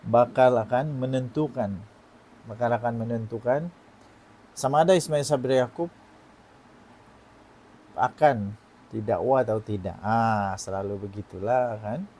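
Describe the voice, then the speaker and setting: A man's voice: medium pitch, warm tone. A man in his forties with a Malaysian Malay accent, giving online political commentary and putting questions to his viewers. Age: 40s. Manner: emphatic, poses rhetorical questions to viewers.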